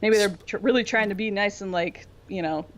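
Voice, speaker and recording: A woman's voice: medium pitch, brisk, highs cut off. A young adult woman rambling casually through her opinions as a podcast co-host in a fandom discussion.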